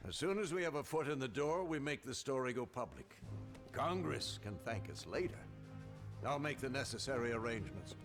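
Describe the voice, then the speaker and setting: An actor playing a cynical, persuasive American political schemer in a game cutscene, with an American accent and measured speechmaking. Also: male voice, mid-range voice, animated, music in the background, close to an everyday voice. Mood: firm, conspiratorial. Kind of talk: dramatic game cutscene acting.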